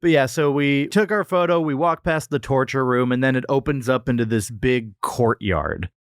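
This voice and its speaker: A man with a mid-pitched voice hosts a casual comedy podcast. He tells stories conversationally and jokes as he goes. The sound is close and dry, with very little room in it. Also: moderate pace, clear, resonant tone, no echo. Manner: conversational storytelling, joking. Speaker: podcast host.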